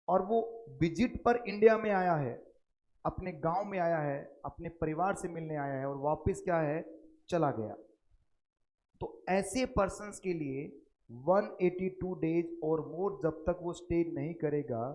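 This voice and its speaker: A slightly high-voiced man with an Indian accent gives a classroom tax lecture. He stretches key English terms out slowly and keeps asking his students questions, then answers them himself. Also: darkish tone, rough recording. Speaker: tax teacher. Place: recording studio.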